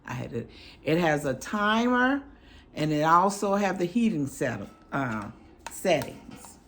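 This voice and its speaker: Hoarse, slow-talking woman reviewer. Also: sits low, very animated, slight background noise. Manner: affectionate, chatty, pious greetings. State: hoarse, crackly voice after illness. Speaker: product reviewer. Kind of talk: casual product review.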